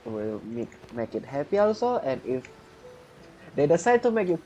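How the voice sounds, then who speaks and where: A man giving casual game playthrough commentary in halting, repetitive non-native English. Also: fairly high pitch, big pitch swings, warm tone, muffled recording. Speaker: gaming streamer. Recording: faint background noise.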